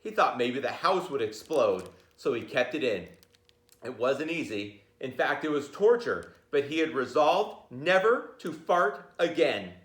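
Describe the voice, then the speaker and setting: A man reading a children's picture book aloud in an informal, jokey, expressive way, with chatty asides. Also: medium pitch, measured pace, echoey room.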